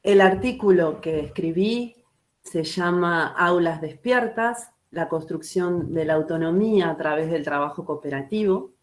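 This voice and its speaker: A woman from Uruguay with a Uruguayan Spanish accent, a schoolteacher presenting her work slowly and carefully at an online book launch. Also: lowish voice. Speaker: guest teacher.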